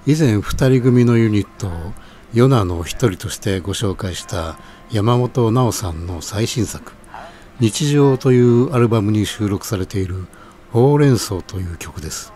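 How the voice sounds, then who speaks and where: Kindly, fatherly cook for radio drama, played by an actor: lowish voice, polite, gently explaining. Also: animated, unhurried, slight background noise. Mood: informative. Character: kindly, knowledgeable older man, cook called father. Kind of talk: radio sound-drama dialogue.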